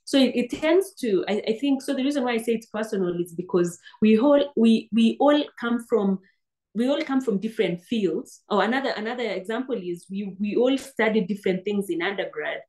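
A woman's voice: mid-range voice, a little fast, darkish tone. Kenyan woman in her thirties, earnest interview guest on a webcam, talks in restarts. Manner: earnest, restarts words often. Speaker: guest, PhD graduate. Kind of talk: interview over video call. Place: indoor room, seated on sofa. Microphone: laptop webcam on video call.

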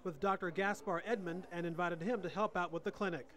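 A man's voice: high-pitched, fairly even intonation, fairly bright tone. Man narrating a news feature. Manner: measured reporter delivery. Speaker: TV news reporter. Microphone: handheld station mic.

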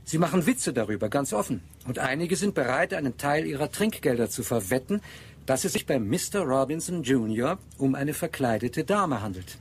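A man's voice: mid-range voice, expressive, moderate pace, a little hiss or hum, lightly acted. Man playing a dutiful ship's radio officer. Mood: earnest, discreet. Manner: deferential, clipped, earnest. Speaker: actor in a radio drama. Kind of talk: German radio drama acting.

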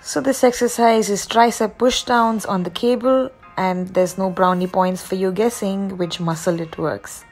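A woman's voice: mid-range voice, fairly even intonation, unhurried, few pauses, a little mellow. A young woman with an Indian accent, talking casually as a fitness vlogger. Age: young adult. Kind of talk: casual vlog narration. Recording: music behind the voice.

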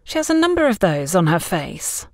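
A woman's voice: lowish voice, very animated, normal speed, no room sound, clean studio sound. A woman voicing a nervous, earnest young woman in an audio story. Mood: flustered, defensive. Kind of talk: audio story character dialogue.

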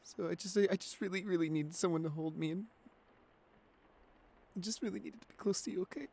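Man acting as shy teenage boyfriend, higher-pitched voice, anxious, clingy, stammering, apologetic, ASMR roleplay. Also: fairly quick, vocal fry, mellow. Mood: apologetic, embarrassed. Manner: stammering, hesitant, pleading.

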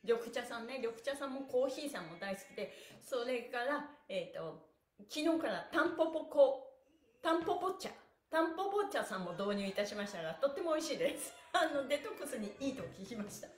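A woman's voice: sits high, big pitch swings, brisk. A middle-aged woman cheerfully leading a stretching class, calling out short cues and chanted counts with exuberance as she exercises. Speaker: stretching instructor and pantomime performer. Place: small bare indoor room, sitting on an exercise mat. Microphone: camera a few steps away. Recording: roomy echo.

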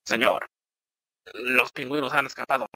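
Nervous young lackey put on by a man with a voice changer, raspy and bright. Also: moderate pace, dry recording. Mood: timid. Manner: timid, apologetic. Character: nervous young male underling "Miguel". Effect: voice changer pitch shift.

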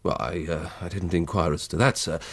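An actor playing a respectful police inspector with an English accent, reporting formally in a British radio drama. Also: male voice, deep voice, steady tone, brisk, dry recording. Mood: earnest, dutiful.

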